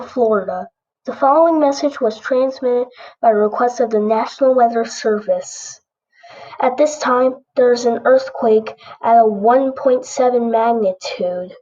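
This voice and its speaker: Lo-fi muffled lady doing emergency warning announcer, highish voice, stiff formal script read. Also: mellow. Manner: formal, impersonal. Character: formal automated female weather-warning announcer.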